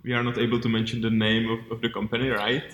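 A man hosting a casual podcast interview, haltingly searching for his words as he asks questions. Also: medium pitch, monotone, a bit bright, lo-fi sound. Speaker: podcast host. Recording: roomy, echoey sound.

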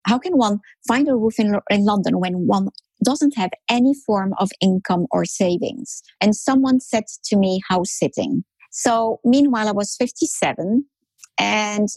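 A woman's voice: medium pitch, normal speed, a little mellow, husky, no echo, rough recording. A woman in her sixties from France, a podcast guest telling her story in an emphatic way, repeating words for rhythm. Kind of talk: storytelling interview talk.

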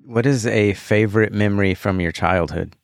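A man from Texas hosts an interview in a relaxed, easygoing way. His low voice has a gravelly texture. The sound is dry and close. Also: normal speed, dry recording. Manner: relaxed, brief replies, laughs along. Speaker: podcast host. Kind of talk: casual interview.

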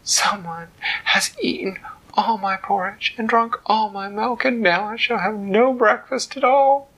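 A woman's voice: medium pitch, measured pace, a bit bright, pitched above the performer's own voice, low-quality recording. A woman voicing a fussy, anxious mother bear who speaks primly. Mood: fretful. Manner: prim and fretful.

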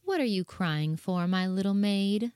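A man voicing a kindly fairy godmother in a storybook reading, speaking gently and reassuringly. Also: high-pitched, unhurried, clean tone, dry recording, studio quality, pitched above the performer's own voice.

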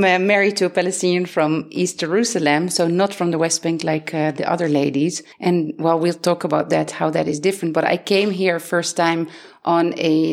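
A woman from the Netherlands hosting a podcast, speaking in a conversational presenting style. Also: fairly low pitch. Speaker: podcast host.

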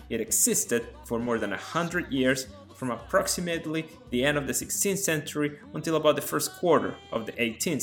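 Male host, Spanish native, calm scripted narration, faint music bed. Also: medium pitch. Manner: measured, explanatory lecture tone. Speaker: podcast host explaining song lyrics.

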